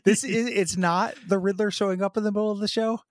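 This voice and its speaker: Man with a froggy voice, halting. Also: sits high, fairly even intonation, no echo, clean studio sound. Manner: halting, lots of fillers and restarts. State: froggy voice, talking around a sore tooth. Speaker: podcast co-host. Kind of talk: casual chat.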